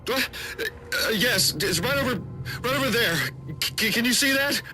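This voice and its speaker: Nervy, high-voiced scientist, very animated. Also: male voice, normal speed, noisy background. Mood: frightened, flustered. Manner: hesitant, nervy, excitable. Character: anxious, flustered civilian scientist trapped in battle. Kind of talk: video game voice acting. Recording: loud music behind voice.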